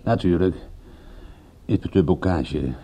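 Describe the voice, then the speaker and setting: A man's voice: sits low, a little slow, dark tone. An actor playing a wry, needling male private detective in a radio drama, sardonic and insistent. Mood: cool, teasing.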